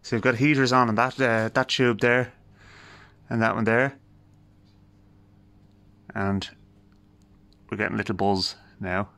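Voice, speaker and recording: Low-pitched Irish man thinking aloud. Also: fairly even intonation, unhurried, creaky, pauses often, a bit bright. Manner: informal, thinking aloud, wry understatement. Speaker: host of a radio repair video. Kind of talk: casual hands-on repair commentary. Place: garage. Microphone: handheld phone.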